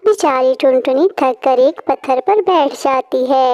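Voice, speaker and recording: A woman's voice: high-pitched, measured pace, dark tone. A woman narrating a scripted children's story as the storyteller.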